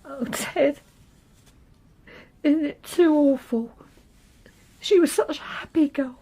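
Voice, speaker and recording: High-voiced meek wife. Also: female voice, slow pace, warm tone. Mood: tearful, pleading. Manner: submissive, pleading, emotional. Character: meek, distraught young working-class wife. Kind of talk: radio drama acting.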